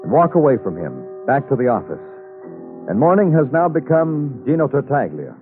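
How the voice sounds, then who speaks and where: A man's voice: medium pitch, normal speed, natural voice. An actor playing a weary, terse police detective who narrates his case in poetic, clipped lines in an old radio crime drama. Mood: brooding, weary. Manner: terse, deadpan. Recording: tinny old radio broadcast, music behind.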